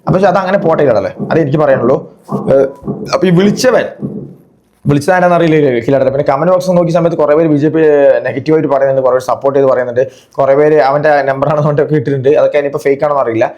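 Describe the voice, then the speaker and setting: Very fast-talking Malayali man reacting casually. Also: fairly high pitch, a little mellow, highs cut off. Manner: chatty, repeatedly checks the listener understands. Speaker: host of a reaction video.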